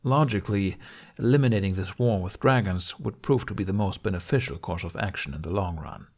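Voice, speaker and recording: A man's voice: low-pitched, brisk. A man reading scripted story narration as an audiobook narrator.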